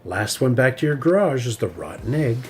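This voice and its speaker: A man voicing a stubborn, fiery young female bear cub who shouts when crossed. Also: mid-range voice, very animated, a little fast, close to an everyday voice. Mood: cheerful. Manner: shouty, indignant.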